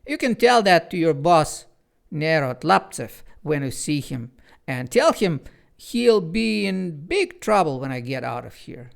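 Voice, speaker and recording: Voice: mid-range voice, sing-song, very slow, gravelly, pitched above the performer's own voice, exaggerated voice. Anne, a storyteller doing a feisty young girl.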